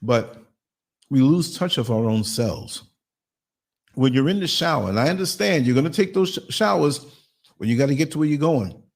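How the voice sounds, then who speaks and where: A man hosting a live online show, talking in a relaxed, chatty way. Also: mid-range voice, normal speed, creaky. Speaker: podcast host. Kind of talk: casual live-stream talk.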